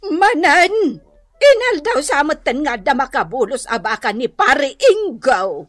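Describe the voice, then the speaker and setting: Nagging, bossy Ilocano farm wife, high animated voice, close dry sound, radio drama. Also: female voice, unhurried, no room sound, full character voice. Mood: nagging, insistent.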